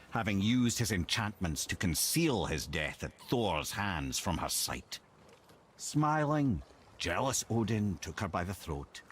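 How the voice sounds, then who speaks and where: Game role: wry old lore keeper, low voice, swooping delivery, eloquent. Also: male voice, slight background noise, heavily acted. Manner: eloquent, wry, dramatic storytelling. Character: wise, witty old male storyteller and lore keeper. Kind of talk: cinematic video game dialogue.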